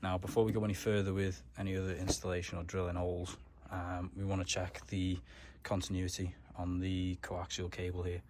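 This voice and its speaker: A man's voice: low-pitched, monotone, brisk, hardly any pauses, a little mellow. A man giving a casual, matter-of-fact hands-on car tutorial, explaining things step by step in informal words. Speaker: DIY car mechanic vlogger.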